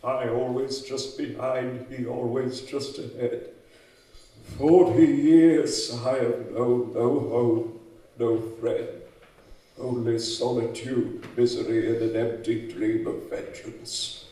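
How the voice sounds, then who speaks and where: Guy doing a weary old scientist out for revenge, radio play style, slow n solemn, super echoey hall. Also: medium pitch, steady tone, mellow, lightly acted. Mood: bitter, weary. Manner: solemn, theatrical, halting delivery. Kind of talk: old-time radio drama acting. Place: live on stage in a community hall. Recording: echoey hall, distant mic.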